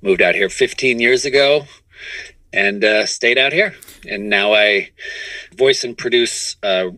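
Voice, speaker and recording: A middle-aged man with a fairly low yet bright, forceful voice, recorded dry. He speaks as an interview guest, recounting things in a loose, conversational storytelling style. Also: a little slow, dry recording. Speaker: interview guest, comedy writer and voice performer.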